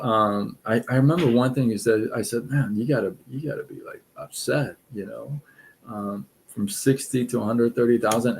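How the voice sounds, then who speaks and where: A middle-aged man from Iran with a Persian accent, hosting a casual live stream of advice talk in a relaxed, rambling way full of fillers and restarts. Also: fairly low pitch, vocal fry, low-quality recording.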